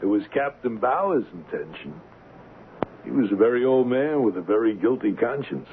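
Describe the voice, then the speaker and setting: An actor playing a bitter, mocking, hard-drinking ship's engineer turned blackmailer in an old-time radio drama. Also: male voice, medium pitch, lively intonation, moderate pace, close to an everyday voice. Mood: taunting. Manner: mocking, theatrical, taunting. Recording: lo-fi, noisy radio drama recording.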